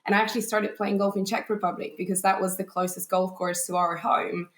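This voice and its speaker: A woman's voice: mid-range voice, flat delivery, a little fast, roomy sound. A woman from Poland, a golf teaching professional, chatting as a podcast guest in a thoughtful way with many restarts. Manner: thoughtful, frequent restarts and fillers, light laughs. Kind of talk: casual podcast interview.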